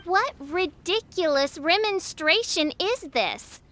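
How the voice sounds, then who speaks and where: A woman's voice: very high voice, lively intonation, takes it slowly, fairly bright tone, faint music behind, full character voice. A woman voicing a grandiose young self-proclaimed princess who speaks in theatrical, archaic phrases. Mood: haughty. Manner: theatrical, archaic and pompous speech. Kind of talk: video game voice acting.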